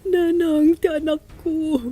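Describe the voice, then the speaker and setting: A woman's voice: high-pitched, flat delivery, slow, mellow, no echo. A woman acting a distraught, remorseful mother in a melodramatic radio drama, tearful and pleading, with an Ilocano accent. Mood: anguished, guilty. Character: distraught, remorseful mother searching for her lost child.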